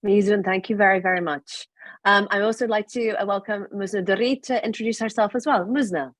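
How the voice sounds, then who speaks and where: A woman's voice: mid-range voice, fairly even intonation, brisk, dry recording. A woman moderating an online conference panel, upbeat and welcoming, introducing speakers.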